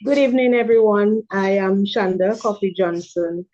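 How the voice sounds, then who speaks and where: A woman's voice: medium pitch, normal speed, dark tone. A woman from Dominica, an elementary school principal, introducing herself formally as a panel guest. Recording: dull lo-fi audio.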